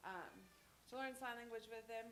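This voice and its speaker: A woman's voice: fairly high pitch, moderate pace, a bit bright, a little hiss or hum. A woman in her thirties teaching a sign language class at church, talking casually and rambling through stories with restarts. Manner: chatty, rambling with anecdotes and restarts. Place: church hall. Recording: echoey church room.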